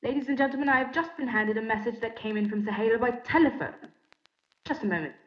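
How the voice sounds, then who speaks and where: Brisk, echoey radio news announcer played by a woman. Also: fairly high pitch, lightly acted. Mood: grave, urgent. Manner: formal, measured wording, urgent delivery. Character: formal radio news announcer relaying grave emergency bulletins. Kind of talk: scripted 1930s-style radio drama news bulletin. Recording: muffled, echoey stage recording.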